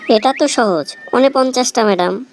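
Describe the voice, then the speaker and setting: A woman playing a cheeky, literal-minded job candidate who answers politely and deadpan in a comic cartoon. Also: on the high side, moderate pace, a little hiss or hum, natural voice. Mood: matter-of-fact. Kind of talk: cartoon comedy dialogue.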